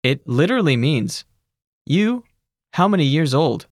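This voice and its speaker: A narrator giving measured, scripted instructions in a language course. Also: mid-range voice, no room sound, clean studio sound. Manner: even, measured teacherly instructions.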